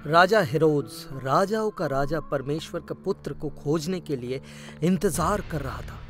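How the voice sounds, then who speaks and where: A man's voice: a little high, animated, measured pace. A man narrating a scripted cartoon story as its storyteller. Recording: loud background music.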